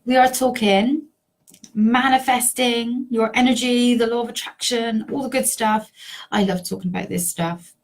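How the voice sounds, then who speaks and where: Slow-talking hoarse woman coach. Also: mid-range voice, a little hiss or hum, rough recording. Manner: chatty, rambling with many ums and laughs. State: hoarse, sore voice. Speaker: life coach. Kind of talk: casual talk to camera.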